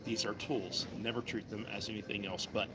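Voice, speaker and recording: A man's voice: on the low side, fairly even intonation, brisk, background noise. A man demonstrating wood carving at his table, chatting in a friendly, folksy way with passing customers. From Virginia. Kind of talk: casual demonstration chat. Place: vendor table in an indoor mall. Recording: busy mall crowd around the table. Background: crowd chatter.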